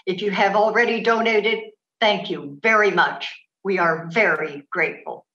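A woman's voice: mid-range voice, normal speed, sharp tone. A woman in her seventies delivering a scripted fundraising appeal over a webcam. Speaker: theater representative appealing for donations. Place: home room indoors. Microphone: computer webcam on a video call. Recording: roomy, dull webcam sound.

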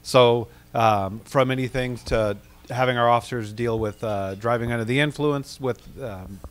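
A man's voice: medium pitch, moderate pace. A man in his thirties, a police official, talks into a handheld microphone at a luncheon panel in a big wood-paneled room. He is plain and matter-of-fact, with a mid-range voice and occasional fillers. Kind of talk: panel presentation.

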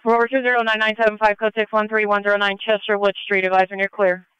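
High, very clear male police dispatcher. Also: completely flat delivery, fast, sharp tone, no room sound. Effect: police radio. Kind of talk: clipped radio dispatch. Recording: dull police scanner audio.

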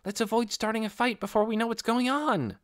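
A calm young adventurer from a fantasy audiobook, voiced by a male narrator. The voice is pitched high and reasons earnestly, urging restraint. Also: fairly quick. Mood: calming, urgent.

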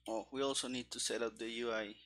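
A man in his thirties thinking aloud as he codes on a stream, halting and muttering to himself into a headset microphone, with keyboard clicks behind him. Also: mid-range voice, fairly even intonation, fairly bright tone. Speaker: programmer streaming game development. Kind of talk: thinking aloud while live coding. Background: keyboard typing and clicking.

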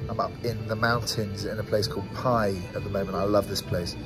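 A man's voice: fairly low pitch, level tone, fairly quick, music in the background. A British man in his twenties chatting casually to camera as a travel vlogger, in a laid-back, slangy way, outdoors on a handheld camera. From grew up in Southeast Asia, mainly Singapore. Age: 20s. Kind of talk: casual vlog chat to camera. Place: outdoors, open-air bar and roadside in a small town. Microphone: phone or camera at arm's length.

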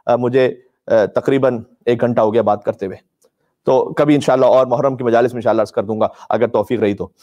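A man with a mid-pitched voice delivers an impassioned religious sermon. His delivery is emphatic and declamatory, shaped like a mournful storyteller's. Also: fairly even intonation, quick pace, warm tone, dull audio. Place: indoor room with draped religious banners, live stream.

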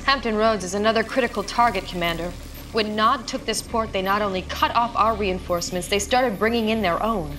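A woman playing a serious, composed military intelligence officer, crisply delivering a scripted mission briefing in a war game. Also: mid-range voice, brisk, music in the background, close to an everyday voice.

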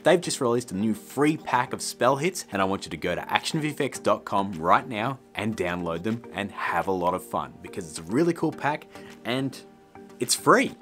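Animated middle-aged man hosting a tutorial. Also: fairly low pitch, moderate pace, faint music behind. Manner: chummy direct address to viewers. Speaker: tutorial host. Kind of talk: casual, scripted video tutorial to camera.

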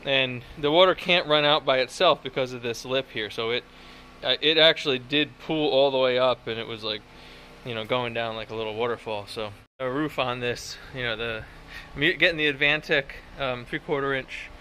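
A man in his thirties rambles cheerfully about his own mistakes, filler words sprinkled through his talk. His voice is bright and a little edgy, middle in pitch. He films outdoors on a phone held at arm's length, with faint outdoor noise behind him. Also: normal speed. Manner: rambling, self-deprecating, chatty with fillers. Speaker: home builder vlogger. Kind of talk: casual self-filmed build vlog. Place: outdoor construction site in woods.

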